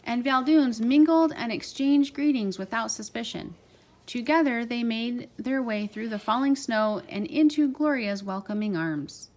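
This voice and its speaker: Higher-voiced woman reading a children's storybook. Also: measured pace, a little mellow. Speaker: parent reading aloud with a child.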